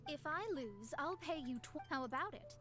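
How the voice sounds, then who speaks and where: An actress playing a haughty, sharp-tongued young female astrologist in a video game, with pompous, formal diction. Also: sits high, animated, moderate pace, music in the background. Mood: smug challenge. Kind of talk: video game character acting.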